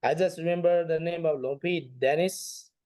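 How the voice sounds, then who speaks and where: A man's voice: a little high, moderate pace, a little mellow, dry recording. A man teaching an informal online class as a Buddhist monk, speaking hesitantly and often repeating phrases. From Nepal.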